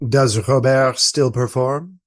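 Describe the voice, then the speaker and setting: A man acting a courteous former baron turned friar in his fifties, speaking gently and formally in a radio play. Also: mid-range voice, fairly even intonation, slow pace, natural voice. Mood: wistful, earnest.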